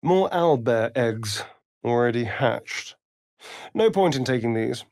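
A man's voice: medium pitch, lively intonation, slow, darkish tone, dry recording, studio quality. A male actor voicing a sly, vain vampire rogue in a fantasy video game, with an arch, flamboyant, sardonic drawl. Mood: wry, curious.

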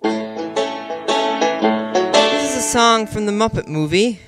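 A man's voice: sits high, slow pace, over loud music. Man voicing a bashful, bumbling old puppet musician. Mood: bashful, hesitant. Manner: hesitant, bumbling, folksy. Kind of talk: children's puppet show.

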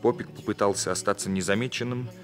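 Man acting a low-voiced, composed police captain. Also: fairly even intonation, over music, close to an everyday voice. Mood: reflective, narrating. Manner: measured, commanding. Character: composed, authoritative male carabinieri captain investigating crime. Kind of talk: radio drama dialogue and first-person narration.

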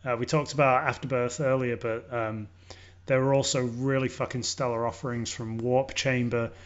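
A man with an English accent chatting casually as a podcast host about music, sweary, enthusiastic and halting. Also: medium pitch, little pitch movement. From born in London, grew up in Leeds, Yorkshire. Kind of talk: casual music review chat. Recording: dull lo-fi audio.

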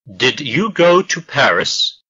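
A man reads English drill sentences very slowly, pausing between each phrase. His voice is bright and sharp, and the recording sounds a little dull and lo-fi. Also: medium pitch, big pitch swings, breathy edge. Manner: slow, carefully spaced model sentences. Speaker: English lesson voice. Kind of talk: slow scripted language drill.

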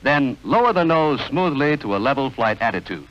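A man reading scripted 1940s training film narration in a measured, instructional, clearly enunciated manner. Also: medium pitch, sing-song, fairly bright tone. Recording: dull, band-limited vintage film soundtrack.

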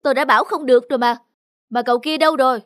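A woman's voice: high-pitched, steady tone, very fast, fairly bright tone, no room sound. A woman voicing a brusque, schedule-obsessed husband on holiday in a cartoon dub, with music underneath. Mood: irritated. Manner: brusque, insistent. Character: impatient, schedule-obsessed adult husband, bossy tourist.

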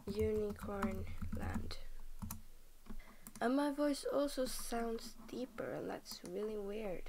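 A woman's voice: on the high side, gravelly, noisy background. A young woman from Norway, just woken up and tired, rambling through casual game commentary at her computer, often half-whispering and stopping mid-sentence. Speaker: game vlogger.

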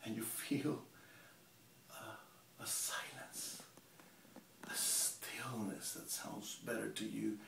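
A man acting a gravelly, menacing serial killer. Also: mid-range voice, heavily acted. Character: cold, menacing male serial killer confessing in an interview. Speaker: amateur actor performing a monologue. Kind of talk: theatrical dramatic monologue. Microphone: camera mic at some distance. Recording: roomy, distant camera mic, hissy.

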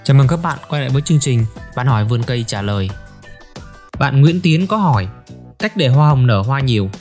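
A man's voice: medium pitch, animated, a little fast, darkish tone, faint music behind. A man hosting a gardening question segment, reading scripted explanations in an orderly, instructional way.

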